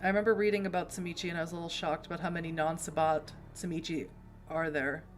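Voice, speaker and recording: Low-voiced chatty American woman streamer. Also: steady tone, quick pace. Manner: chatty, rambling asides. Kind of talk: casual live-stream chat.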